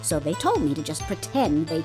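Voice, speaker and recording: A woman's voice: deep voice, big pitch swings, normal speed, music in the background. A woman voicing a cantankerous old female security guard in a courtroom game, scolding and blustering with indignant stammers. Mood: grudging, conspiratorial. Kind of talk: dubbed courtroom comedy game acting.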